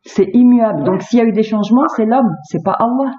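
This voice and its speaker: A woman's voice: a little high, brisk, mellow. A woman arguing about religion in a phone debate, firm and insistent as she makes her case. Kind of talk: argumentative religious discussion on a call. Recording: muffled, echoey, noisy phone-call recording.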